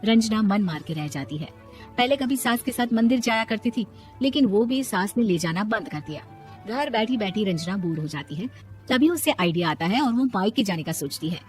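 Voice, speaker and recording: A woman's voice: medium pitch, expressive, moderate pace, darkish tone, soft background music. A woman narrating a cartoon story in a plain, matter-of-fact way.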